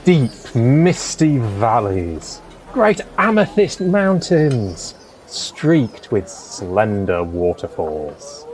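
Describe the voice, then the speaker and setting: Expressive, dreamy poetic gentleman. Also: mid-range voice, drawn-out words, slight background noise. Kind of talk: period audio drama acting.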